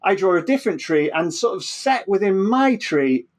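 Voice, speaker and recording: A man's voice: high voice, sing-song, normal speed. A man explaining science informally as an interview guest, often repeating words and restarting, checking in with "right?".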